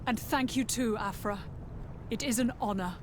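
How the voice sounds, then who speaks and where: A dutiful woman soldier played by an actress in a video game. She reports formally and steadfastly in a slightly high voice, with clear rumbling noise behind. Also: moderate pace, close to an everyday voice. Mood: grim, respectful.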